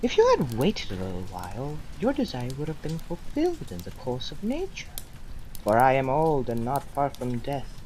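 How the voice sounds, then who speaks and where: An old condemned philosopher, played by a woman, addresses the judges in a low voice that rises and falls dramatically. The delivery is slow, solemn and deliberate, serene yet defiant, with long theatrical pauses. It is an amateur drama recording with noise behind the voice. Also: huge pitch swings, warm tone, heavily acted, low-quality recording. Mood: reproachful.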